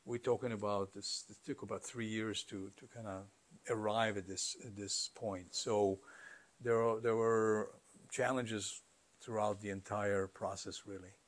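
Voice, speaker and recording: A middle-aged man giving conversational, explanatory interview answers in a halting way, as a company founder. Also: fairly low pitch, level tone. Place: carpeted listening room, indoors.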